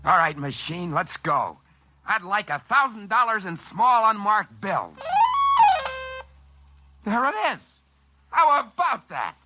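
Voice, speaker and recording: An American actor playing an excitable, work-shy schemer who stammers when flustered, in mid-century radio drama style. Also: male voice, high voice, slow pace, long pauses, full character voice. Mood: smug, musing. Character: work-shy scheming dreamer who finds a wishing machine. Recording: vintage radio recording with faint music.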